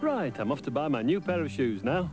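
A man's voice: fairly high pitch, very animated, brisk, music in the background. An actor playing a genial, polite older English boatman in children's television, musing aloud courteously. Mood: cheerful musing. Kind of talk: children's TV drama.

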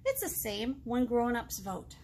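A woman's voice: on the high side, sing-song, a little slow, darkish tone, a little hiss or hum. An American woman in her thirties leading a children's storytime, speaking expressively in simple phrases to young listeners. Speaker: children's librarian hosting storytime. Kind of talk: children's storytime read-aloud and chat. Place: small indoor room, seated before a book display.

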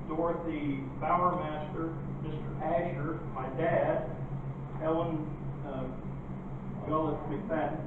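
An older man with a Midwestern American accent reads a tribute at a local gathering, drifting into halting, folksy asides. The recording is thin and phone-like, with clear background noise. He sounds set back in a heavily echoing room. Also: fairly high pitch. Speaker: speaker at a local history event. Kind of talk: reading a family tribute with informal asides. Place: echoey small hall, indoors. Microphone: gooseneck lectern mic, picked up by far camera. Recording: echoey, distant, noisy, tinny room recording.

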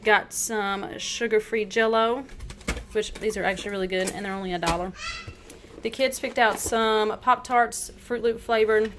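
A woman's voice: medium pitch, steady tone, normal speed, fairly bright tone, a little hiss or hum. A woman in her thirties chatting casually at home about her groceries, full of ums and likes, filmed on a handheld phone. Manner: chatty, many ums and likes, listing items. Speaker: vlogger. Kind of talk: casual grocery haul narration. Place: home kitchen, indoors.

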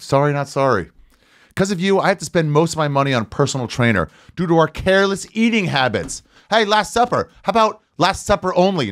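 A man's voice: medium pitch, no echo. A man in his forties giving a casual comedic read with sarcastic, exasperated delivery, close on a studio mic. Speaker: guest comedian on a podcast. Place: small podcast studio, indoors. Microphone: close studio mic with headset.

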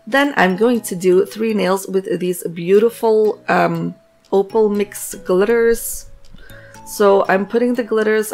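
Raspy, hoarse woman with a gravelly voice. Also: medium pitch. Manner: relaxed, chatty, many fillers. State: hoarse from a cold. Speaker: nail art tutorial host. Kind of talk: casual voice-over tutorial narration.

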